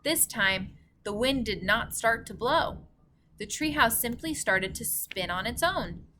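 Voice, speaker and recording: A woman's voice: fairly high pitch, lively intonation, unhurried, sharp tone, a little hiss or hum. A woman reading a storybook aloud like a teacher, enunciating carefully for young children. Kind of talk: storybook read-aloud narration.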